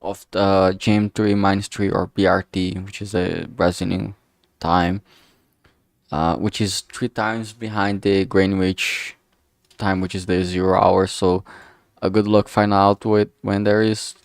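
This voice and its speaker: Slow, smooth-voiced man, halting. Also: low-pitched, little pitch movement, no echo, clean studio sound. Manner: halting, correcting himself mid-sentence. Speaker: streamer and hobby game developer. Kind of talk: casual livestream chat.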